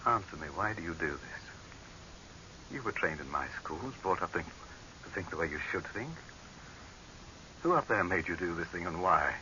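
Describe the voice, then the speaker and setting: A hissy, dull 1930s radio horror recording carries a proud dictator trapped and facing death. His voice is low and forceful, and he speaks like a commanding orator, full of contempt for whoever hears him. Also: expressive, measured pace, pauses often, full character voice. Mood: demanding, desperate.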